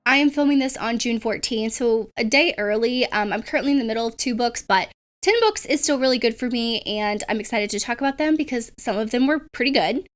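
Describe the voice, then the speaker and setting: A woman's voice: fairly high pitch, a little fast. A young woman with a cold, chatting casually about books she has read, rambling with lots of fillers and restarts. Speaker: book vlogger. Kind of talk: casual book review chat.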